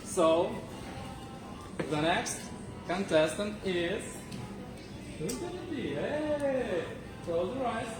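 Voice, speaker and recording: Guy hosting a contest, talks slow and halting, far from mic in echoey room. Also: high voice, lively intonation. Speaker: contest host asking questions. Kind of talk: hosting a live club speaking contest. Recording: echoey room from afar, loud music.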